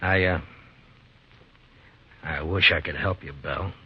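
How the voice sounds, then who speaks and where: An American actor playing a weary, grave frontier marshal in a 1950s radio western, speaking in a measured, hesitant way. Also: male voice, low voice, steady tone, breathy edge, exaggerated voice. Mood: awkward, regretful. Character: weary, conscience-troubled frontier US marshal, grown man. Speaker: lead actor and first-person narrator. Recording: dull 1950s radio recording, music behind.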